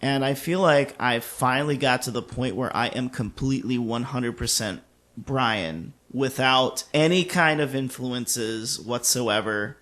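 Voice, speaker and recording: A man's voice: mid-range voice, unhurried, a bit bright. A man in his late thirties co-hosting a podcast, talking casually in a candid, self-deprecating way. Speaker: podcast co-host, singer and music teacher.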